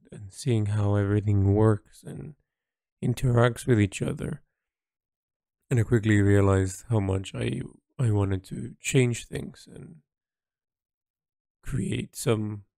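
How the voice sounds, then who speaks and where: A man recording a reflective, unscripted audio diary as an artist, speaking in a halting, thoughtful way with pauses mid-phrase. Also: fairly low pitch, creaky, warm tone, no room sound, studio quality. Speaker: artist keeping an audio log.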